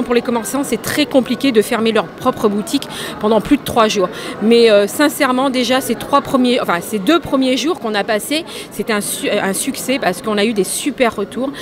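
A woman organizing a wedding fair, answering quickly and enthusiastically into a handheld mic, with many fillers. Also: a little high. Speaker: event organizer and shop owner. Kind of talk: interview answer. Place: indoor exhibition hall.

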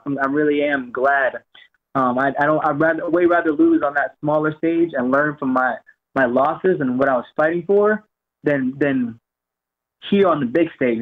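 A young man from Jamaica, raised in Florida, calling in as a guest fighter and talking loosely, often repeating words. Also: on the high side. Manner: relaxed, rambling, repeats words. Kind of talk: phone-in interview. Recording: tinny phone line.

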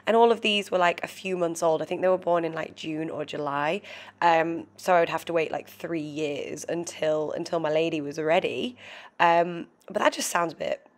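British woman, twenties, vlog narrator. Slightly low pitch, stuffy nose, gushing and chatty. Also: steady tone, moderate pace. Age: 20s. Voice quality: nasal. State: congested with a cold. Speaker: vlogger. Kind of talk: casual voiceover narration.

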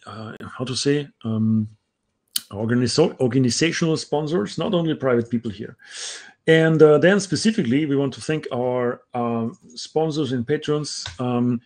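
A man's voice: medium pitch, unhurried, a little mellow. A middle-aged man from Austria with an Austrian German accent, co-hosting a casual video call chat, talking in a chatty way with many fillers. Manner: chatty, many um fillers, reads names out carefully. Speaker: co-host. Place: home room with bookshelves. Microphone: video call with earbud.

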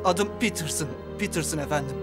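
Man acting a hesitant, high-voiced frightened young lieutenant. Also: brisk, music in the background, lightly acted. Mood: nervous, fearful. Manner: hesitant, stammering. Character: frightened young captured British lieutenant, nervous and deferential. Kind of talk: cartoon war drama dubbing.

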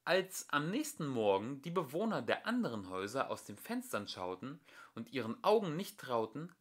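Bright-voiced man reading a children's story. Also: mid-range voice. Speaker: amateur audiobook reader. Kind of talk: expressive children's story narration.

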